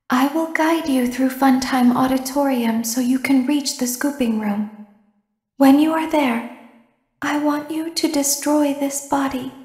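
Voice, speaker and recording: A woman voicing a childlike female animatronic robot in a video game, eerie and secretive, with a hushed, breathy, deliberate delivery. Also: high-pitched, roomy sound. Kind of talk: video game character monologue.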